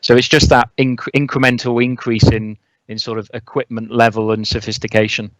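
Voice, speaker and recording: A man with a smooth, clean voice and very even intonation, recorded close and dry. He talks as an expert guest, explaining in a measured, conversational way. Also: medium pitch, no echo, rough recording. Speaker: air traffic controller, interview guest.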